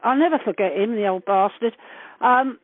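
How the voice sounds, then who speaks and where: A woman's voice: on the high side, moderate pace, no room sound. An older woman reminisces casually over the phone, chatty, earthy and blunt, with casual swearing. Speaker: interviewee recalling past events. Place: on a phone call. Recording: narrowband telephone call, dry.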